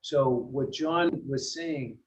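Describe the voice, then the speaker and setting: An American man from Massachusetts chairing a board meeting, explaining things informally in a halting, restarting way. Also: mid-range voice. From Massachusetts, USA. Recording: muffled, lo-fi meeting audio with noise.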